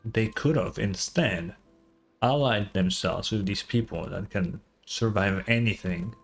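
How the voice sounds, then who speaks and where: A man giving casual, slangy live reactions as a game streamer, thinking aloud and swearing freely. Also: lowish voice. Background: faint game music.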